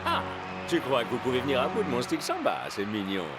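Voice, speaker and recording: Casting: conceited cartoon football villain, mid-pitched, swaggering and very expressive, loud music underneath. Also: male voice, quick pace. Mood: smug, mocking. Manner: pompous, mocking. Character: smug, flamboyant Brazilian male star striker, taunting rival. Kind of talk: French cartoon dub acting.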